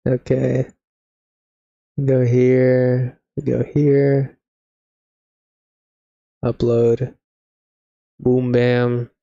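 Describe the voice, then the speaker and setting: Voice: medium pitch, completely flat delivery, extremely slow, vocal fry, long pauses, mellow, dry recording, highs cut off. A laid-back, slangy live streamer of unclear sex, chatting casually with mock seriousness. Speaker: video game live streamer.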